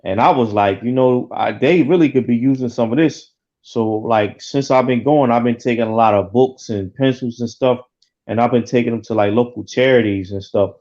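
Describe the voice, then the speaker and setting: An earnest middle-aged man speaks at an ordinary pitch for a man, padding his sentences with "like". His sound comes through dull and muffled. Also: moderate pace, darkish tone. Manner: earnest, full of fillers like "like". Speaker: guest. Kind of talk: casual chat.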